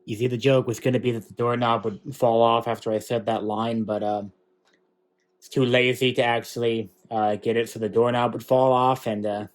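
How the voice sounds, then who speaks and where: A man's voice: medium pitch, level tone, moderate pace. A man making comedic commentary asides, exasperated and ranting.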